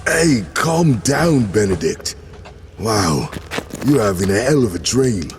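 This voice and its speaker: A man acting in an audio drama as a steady, knowing guide and loyal companion, deliberate and reassuring. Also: on the low side, heavily acted. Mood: calming. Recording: long reverb, faint ambient noise.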